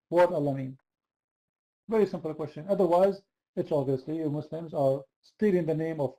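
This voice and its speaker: A man's voice: high voice, mellow, rough recording. A man hosting a heated online debate, pressing the same question again and again. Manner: insistent, repeats the same question. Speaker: debate host.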